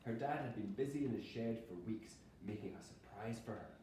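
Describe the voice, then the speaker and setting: A man's voice: on the low side, a little fast, mellow. A man teaching a primary school class, explaining words simply and patiently and reading short examples aloud, with encouragement for the pupils. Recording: echoey classroom, distant mic, faint noise.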